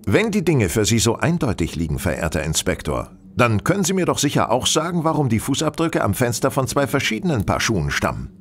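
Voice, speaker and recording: A shrewd, self-assured detective in a radio drama. His delivery is precise and courteous, theatrical, with lively swings in pitch. Faint ambience sits behind him. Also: brisk, few pauses, slight background noise. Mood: pointed. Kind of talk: German radio play acting.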